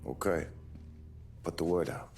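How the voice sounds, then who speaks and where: A man's voice: sits low, steady tone, unhurried, a little mellow. An actor playing a streetwise mob underling in a video game, blunt and clipped in his talk. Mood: grim. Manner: plain-spoken, clipped. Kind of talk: cinematic game voice acting. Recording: music behind the voice.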